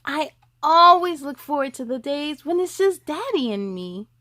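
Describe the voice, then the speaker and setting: A woman with a distinctly high voice hosts a story time for children. She moves between reading aloud and chatty asides. Her delivery is animated and sing-song, aimed straight at young viewers. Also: a little slow.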